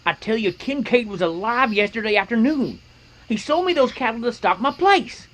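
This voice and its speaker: A woman's voice: on the high side, animated, normal speed, rough recording. A woman playing a desperate young accused rancher in a radio western, urgent and imploring. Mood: indignant. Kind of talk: old-time radio drama acting.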